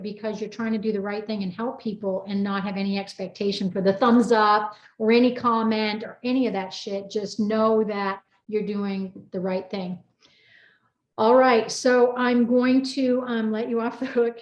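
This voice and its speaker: A woman's voice: on the high side, a little mellow, lo-fi sound. A woman hosting a casual interview in a chatty, informal, rambling way. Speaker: host. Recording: roomy echo, music behind voice.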